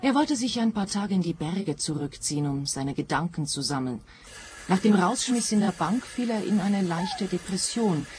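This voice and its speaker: A woman's voice: on the low side, animated, moderate pace, darkish tone, a little hiss or hum, lightly acted. An actress playing a composed young widowed mother who speaks matter-of-factly in a radio play.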